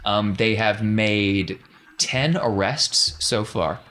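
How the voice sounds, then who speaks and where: Male game master, lowish voice, describing scenes off the cuff in an informal tabletop game. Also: slow, a bit bright, a little hiss or hum. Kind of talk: improvised tabletop game narration.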